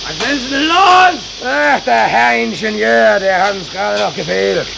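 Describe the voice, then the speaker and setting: A man acting a rough, excitable stoker in a 1940s German radio play, coarse and agitated, often shouting. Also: high-pitched, measured pace, exaggerated voice. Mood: alarmed. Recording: noisy 1940s radio recording.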